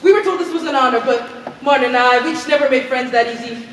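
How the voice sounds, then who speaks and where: A woman's voice: sits high, fast talker, lightly acted. A woman acting on stage as a blunt, well-traveled lady explorer who tells quirky tales in a projected voice. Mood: deadpan. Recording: echoey theater hall, far mic, faint noise.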